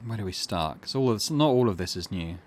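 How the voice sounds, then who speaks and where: A man hosting a game playthrough, reading the on-screen text aloud and thinking out loud with small hesitations. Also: on the low side, lively intonation, a little fast. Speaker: gaming channel host.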